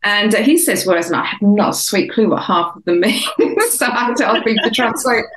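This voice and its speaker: A woman's voice: mid-range voice, fairly quick, fairly bright tone, a little hiss or hum. Older English woman in an echoey room. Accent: English, softened London. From England. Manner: reflective, rambling with many restarts. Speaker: author and guest. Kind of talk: casual interview chat. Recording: roomy echo.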